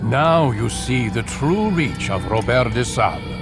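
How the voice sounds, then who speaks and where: A man playing a stern, cryptic older master of an assassin order in a video game, measured and authoritative. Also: mid-range voice, animated, over music. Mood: grave. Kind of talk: dramatic video game acting.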